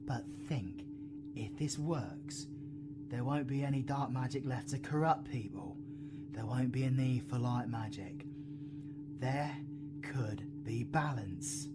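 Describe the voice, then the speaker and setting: A man with a British accent playing a brooding, foul-mouthed dark-magic supervillain in an audio roleplay, intense and full of slang. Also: medium pitch, slow pace, over loud music, lightly acted. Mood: pleading, subdued. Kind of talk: dramatic audio roleplay acting.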